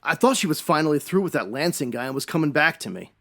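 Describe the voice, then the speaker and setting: A man's voice: a little high, big pitch swings, fast, gravelly, fairly bright tone, full character voice. A man playing a nervous, evasive husband under suspicion in a radio detective drama, hesitant and pleading. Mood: anguished pleading. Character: nervous, evasive husband cornered as a murder suspect. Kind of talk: old-time radio detective drama acting.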